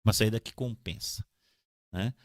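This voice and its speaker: A man's voice: fairly low pitch, moderate pace, no echo. A middle-aged man with a São Paulo Brazilian accent telling his story in an interview, emphatic, repeating phrases and checking he is understood. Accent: São Paulo Brazilian Portuguese. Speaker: podcast guest, pharmaceutical sales representative. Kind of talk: conversational personal storytelling in an interview.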